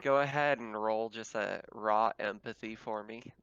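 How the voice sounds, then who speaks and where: Smooth-voiced man running a game. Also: on the low side, unhurried, a bit bright, no room sound, muffled recording. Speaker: game master. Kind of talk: casual tabletop game narration.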